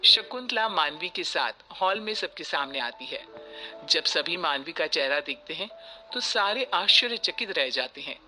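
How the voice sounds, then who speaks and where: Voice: medium pitch, expressive, moderate pace, sharp tone. A narrator reading a scripted moral story in a storytelling style. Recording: music bed behind the voice.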